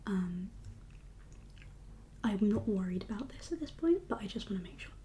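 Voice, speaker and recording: A woman speaking in a soft, breathy whisper with a calm, reassuring, unhurried manner, as a doctor in an ASMR medical roleplay. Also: mid-range voice, slight background noise. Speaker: ASMR performer playing a doctor.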